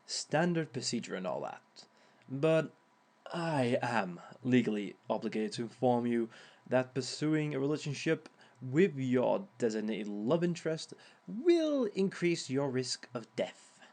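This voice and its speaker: A man's voice: mid-range voice, big pitch swings, measured pace, creaky, a little mellow. A man voicing a sly, theatrical male villain who lectures on dating in an audio roleplay, with chuckling asides. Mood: smug. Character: smug male villain giving a dating seminar.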